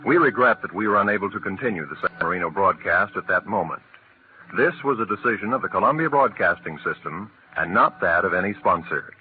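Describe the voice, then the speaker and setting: Formal low-pitched male radio announcer. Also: lively intonation. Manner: measured, formal. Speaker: network announcer. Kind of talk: formal scripted 1940s radio statement. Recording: tinny vintage radio recording, slight noise.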